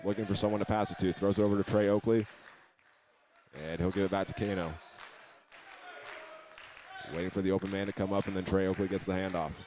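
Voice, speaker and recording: American man, live basketball play-by-play, rapid delivery, fairly low even pitch, faint gym noise. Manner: running present-tense description of each move. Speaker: play-by-play sports announcer.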